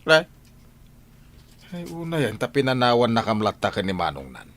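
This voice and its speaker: A man's voice: medium pitch, big pitch swings, normal speed, a bit bright. An actor playing an inquisitive older village man in a radio drama, with an Ilocano accent from the northern Philippines. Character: older village man, inquisitive elder asking after a young woman.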